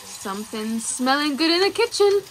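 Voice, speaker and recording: Soft-spoken woman filming on a boat. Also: sits high, big pitch swings, normal speed. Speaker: vlog co-host filming. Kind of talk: casual vlog chat. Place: small sailing boat. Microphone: handheld phone camera. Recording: noisy boat sound.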